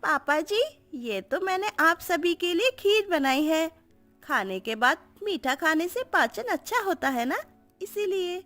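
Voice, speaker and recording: Woman doing a high girlish young bride, sweet and wheedling, lively sing-song pitch, cartoon story. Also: measured pace, faint music behind, heavily acted. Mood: sweetly stubborn. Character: cheeky young daughter-in-law, sweetly stubborn.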